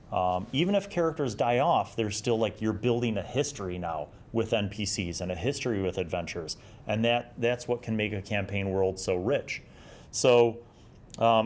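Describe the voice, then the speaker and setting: A man in his forties giving casual, reflective commentary as a host, moving between reading aloud and conversational talk. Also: on the low side. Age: 40s. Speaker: host of a retro gaming review series.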